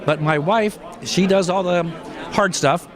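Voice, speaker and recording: Relaxed, joking man telling his story. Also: sits high, unhurried, crowd noise. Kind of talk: testimonial.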